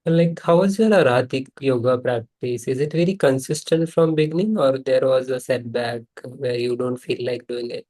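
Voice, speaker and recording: A man asks his questions with a mellow, warm tone. He pauses and restarts as he looks for the right words, keeping the chat casual. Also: medium pitch. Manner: halting, searching for words. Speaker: interviewer, healing center owner.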